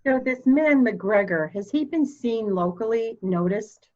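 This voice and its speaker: A woman playing a proud, formal, candid elderly mother in a murder mystery reading, speaking deliberately. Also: a little high, animated, mellow, highs cut off. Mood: cool, musing. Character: proud, cold old mother, candid and slightly deaf.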